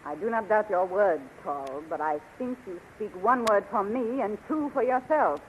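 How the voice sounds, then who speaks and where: A woman's voice: medium pitch, normal speed, lightly acted. An actress playing a shrewd, regal queen who questions people theatrically in a 1930s radio serial. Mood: suspicious, shrewd. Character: imperious, shrewd young queen of a fantasy realm. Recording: muffled, noisy 1930s radio recording.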